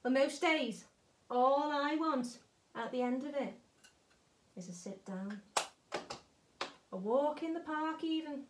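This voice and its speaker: A woman's voice: high voice, takes it slowly, long pauses, background noise. A woman acting a jaded, wistful hotel cleaner in a stage monologue, blunt, wry and conversational. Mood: wistful, weary. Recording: roomy, echoing space.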